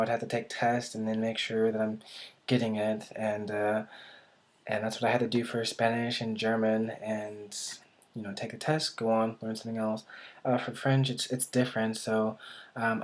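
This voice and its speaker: A young man from the United States talking casually to camera about learning languages, hesitant and thinking aloud with many restarts. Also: lowish voice. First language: English. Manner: thinking aloud, self-correcting. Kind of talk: casual unscripted talk to camera.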